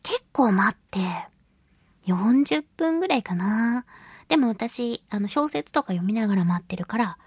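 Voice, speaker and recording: A woman's voice: fairly high pitch, animated, unhurried, darkish tone, slightly rough, no room sound, studio quality. A woman hosting a radio talk show, chatting casually about her own life in a self-deprecating, giggly, storytelling way. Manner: acts out little quoted remarks while storytelling. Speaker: voice actress. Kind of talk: casual solo radio chat, telling personal anecdotes.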